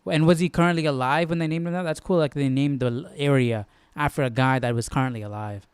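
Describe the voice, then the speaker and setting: A man's voice: on the high side, fast talker, smooth, no echo. A man hosting a live Bible study stream with informal commentary, thinking aloud as he goes. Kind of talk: casual study-along commentary.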